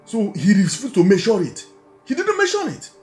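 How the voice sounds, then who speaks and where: A Nigerian man speaks in a high, very animated voice as he comments on politics. He stresses and repeats his points and throws out rhetorical questions, with music playing underneath. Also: moderate pace. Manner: emphatic, repetitive, rhetorical questions. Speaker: online video commentator.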